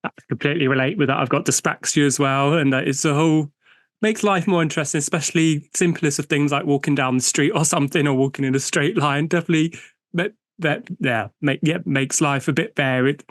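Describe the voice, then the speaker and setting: British man hosting, higher-pitched, dry sound. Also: dry recording. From United Kingdom. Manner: friendly, slightly halting when improvising. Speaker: podcast host. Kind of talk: interview podcast hosting.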